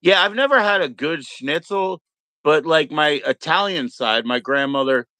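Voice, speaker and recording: A man's voice: on the high side, animated, moderate pace, bright, forceful tone, no echo. Laid-back American man, dry close sound. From Maryland, United States. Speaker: livestream host. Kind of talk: casual livestream chat.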